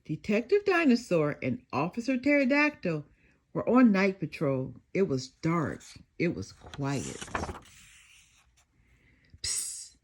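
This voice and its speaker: A woman reading a children's story aloud in an animated, deliberate and expressive narration. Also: lowish voice.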